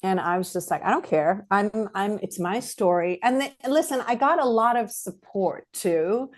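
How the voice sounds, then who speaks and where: Middle-aged South African-born woman, interview guest, loose chatty delivery full of fillers, mid-pitched voice. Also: moderate pace. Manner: chatty, rambling, many fillers and restarts. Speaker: guest filmmaker. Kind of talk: casual interview chat.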